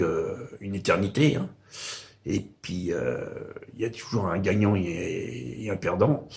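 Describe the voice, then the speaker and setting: A man's voice: on the low side, vocal fry, a little mellow. An older man from Paris, talking to camera in a casual, rambling monologue full of hesitant fillers. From Paris, France. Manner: hesitant, frequent "euh" and "hein" fillers. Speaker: video blogger. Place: home in the countryside.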